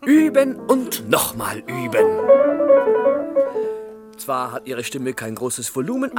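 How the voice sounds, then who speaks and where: An actor playing a courtly, flattering composer and singing coach in a German radio play. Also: male voice, mid-range voice. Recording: loud piano music behind. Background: piano.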